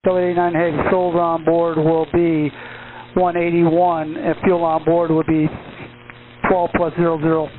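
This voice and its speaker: A man's voice: a little high, completely flat delivery, normal speed. A man speaking as an airline pilot, reporting matter-of-factly to air traffic control over cockpit radio. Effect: cockpit radio transmission. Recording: narrow-band, noisy cockpit radio. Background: clear radio and aircraft noise.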